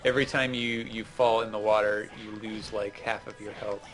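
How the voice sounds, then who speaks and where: A man's voice: fairly low pitch, little pitch movement, moderate pace, a bit bright, soft background music, low-quality recording. A man giving casual, rambling explanations as a co-host commenting on gameplay. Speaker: gaming show co-host.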